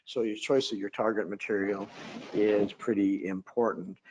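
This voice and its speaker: A man's voice: fairly low pitch, steady tone, moderate pace, a little mellow, slight background noise, low-quality recording. A man giving a technical conference lecture over a video call, with a droning voice, often hesitating with fillers and restarts.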